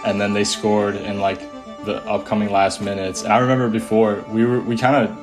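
Young American man, lowish and very even, loose casual recollection over music. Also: brisk.

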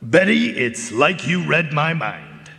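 An actor playing a dignified talking spaniel detective in a live radio drama, with formal, refined diction and dry wit. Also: male voice, fairly high pitch, big pitch swings, takes it slowly, gravelly, sharp tone, soft background music, heavily acted. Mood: courteous. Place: stage of a performance hall. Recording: live in echoey concert hall.

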